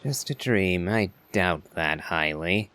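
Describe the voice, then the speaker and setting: Deep-voiced, unhurried male gamer. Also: lively intonation, sharp tone. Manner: musing, measured, wry. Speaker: gamer playing and voicing reactions. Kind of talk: low-key playthrough commentary. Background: game music.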